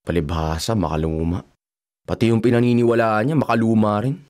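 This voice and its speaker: A man's voice: fairly low pitch, fairly quick, a little mellow, close to an everyday voice. A man playing a wry, gossipy brother in a radio drama, with a teasing, sardonic manner.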